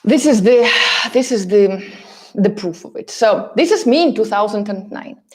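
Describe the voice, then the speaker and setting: A woman's voice: medium pitch, animated, moderate pace, mellow. A woman from Russia with a Russian accent gives a motivational coaching talk, speaking emphatically and directly to her listeners with frequent repetition for stress. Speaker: relocation coach and course host.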